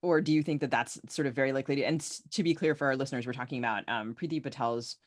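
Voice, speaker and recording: A woman's voice: a bass voice, racing. A woman hosting a podcast interview, talking informally and circling her questions with asides. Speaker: podcast host. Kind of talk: casual interview talk.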